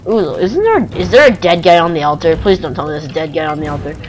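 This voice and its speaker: A man's voice: a little high, animated, quick pace. Goofy young male gamer, exclamatory. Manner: goofy, exclamatory, reacting aloud. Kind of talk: casual gameplay commentary. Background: game music.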